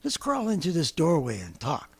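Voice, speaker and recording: A raspy, scheming ex-militiaman. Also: on the high side, huge pitch swings, normal speed, heavily acted. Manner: rambling, boastful, laughing to himself. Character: scheming stranger, a former militiaman with a survival plan. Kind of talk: 1930s radio drama acting.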